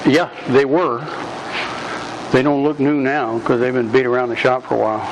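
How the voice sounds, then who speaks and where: A man giving a live workshop demonstration in a club meeting room, projecting his voice loudly and rambling along with frequent ums. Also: medium pitch, little pitch movement, normal speed. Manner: loud, projecting without a speaker system. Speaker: woodturning demonstrator. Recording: noisy room recording.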